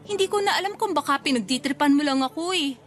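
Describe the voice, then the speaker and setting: Young Filipina girlfriend, high voice, coy, playfully skeptical, radio drama. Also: lively intonation, quick pace, a bit bright. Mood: doubtful. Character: tired, doubtful, teasing young working girlfriend. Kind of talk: radio romance drama acting.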